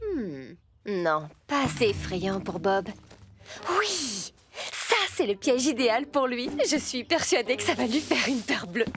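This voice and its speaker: Music runs under a confident, expressive cartoon workmate. Her voice is high and lively in pitch, full of enthusiasm. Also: measured pace, heavily acted. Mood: playful. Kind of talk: French children's cartoon dub. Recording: music behind voice.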